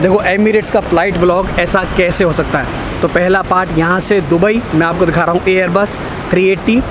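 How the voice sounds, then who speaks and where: A young man with a high-pitched voice chats enthusiastically while vlogging into a camera held at arm's length. He keeps up a casual travel-guide patter as he moves through busy public places. Loud street and terminal noise stays behind him the whole time. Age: young adult. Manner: chatty, enthusiastic, mixes English into Hindi. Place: streets, bus and airport terminal. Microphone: handheld camera at arm's length.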